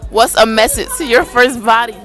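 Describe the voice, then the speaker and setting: A woman's voice: on the high side, animated, normal speed, fairly bright tone. A young woman casually interviewing students on the street, asking blunt, teasing questions. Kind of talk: casual vox-pop interviewing. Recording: music and crowd over street audio.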